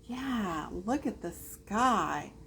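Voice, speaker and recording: Female storyteller for a young child's picture book, highly animated pitch, slow and drawn-out. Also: slight background noise. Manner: drawn-out, expressive child-directed delivery. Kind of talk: reading a picture book aloud to a small child, with asides.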